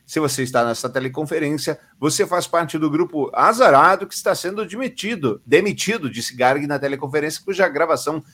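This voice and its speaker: A man's voice: on the high side, brisk, few pauses, fairly bright tone. A Brazilian man co-hosting a podcast, reading news stories aloud and adding dry, sarcastic comments.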